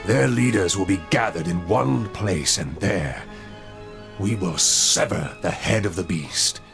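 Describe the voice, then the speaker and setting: A man voicing a zealous angel leader in a video game, slow, forceful and persuasive. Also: low voice, gravelly, over music. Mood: resolute. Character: zealous commanding male angel leader, proud. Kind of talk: video game cutscene acting. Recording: game score under the voice.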